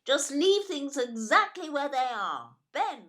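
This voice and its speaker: An actress playing a fussing, incredulous older British wife in an audio play, fretful and full of exclamation. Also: female voice, high-pitched, very animated, unhurried, pushed and bright, exaggerated voice. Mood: alarmed. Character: fussing, indignant older wife, doubting her husband.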